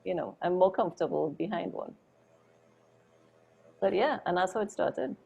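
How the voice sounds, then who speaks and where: A woman, a photographer and interview guest, telling her story in a chatty, animated way with lots of "like" and "oh my God". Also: fairly low pitch, moderate pace, creaky, muffled recording, a little hiss or hum.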